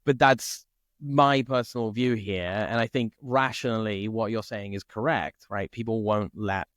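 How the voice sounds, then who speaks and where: A man from Italy co-hosting a podcast, chatting casually and thinking out loud, often checking in with "right?". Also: lowish voice, fairly even intonation, a little slow, no echo, clean studio sound. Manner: thinks aloud, peppers speech with "like" and "right?". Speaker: podcast co-host. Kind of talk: casual conversation.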